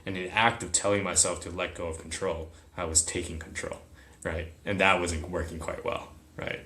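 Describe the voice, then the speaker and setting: A man's voice: low-pitched, fairly even intonation, moderate pace, pushed and bright. A man whose first language is Chinese, talking reflectively as a podcast guest. He often checks in with "right?". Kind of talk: reflective interview talk. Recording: roomy echo.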